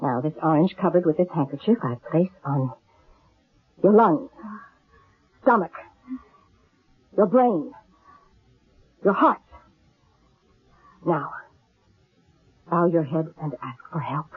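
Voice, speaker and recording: Husky middle-aged fortune teller con lady, commanding, thin phone-like old radio drama sound. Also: low-pitched, expressive, slow, pauses often, heavily acted. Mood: solemn, commanding. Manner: portentous, commanding delivery. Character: shrewd aging female fortune-teller con artist, solemn and commanding. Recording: thin, narrow-band old radio recording.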